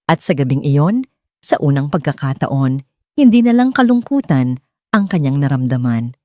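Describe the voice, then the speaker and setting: A woman's voice: low voice, very animated, moderate pace, warm tone, dry recording, clean studio sound. A woman reading a scripted dramatic story as its narrator.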